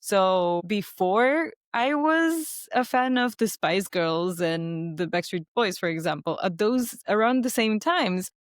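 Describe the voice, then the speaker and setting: A woman's voice: medium pitch, expressive, takes it slowly, hardly any pauses, dry recording, clean studio sound. A woman from Ecuador, hosting a podcast and chatting with frequent laughs. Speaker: podcast host. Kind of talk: casual podcast chat.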